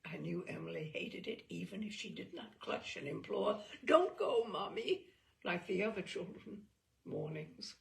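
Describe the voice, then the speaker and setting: A woman reading a literary short story in the first person, measured and expressive, dwelling on phrases. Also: fairly low pitch, creaky.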